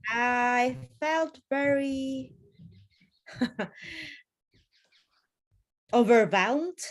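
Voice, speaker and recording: A woman's voice: high voice, extremely slow. A woman student in an online English class who talks hesitantly, feeling her way through words. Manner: hesitant, careful. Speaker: language student. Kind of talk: class discussion.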